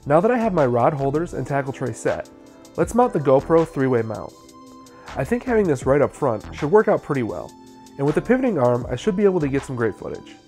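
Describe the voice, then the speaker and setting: Male, 30s, how-to host; mid-pitched with animated intonation, faint music underneath. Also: brisk. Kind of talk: scripted how-to narration.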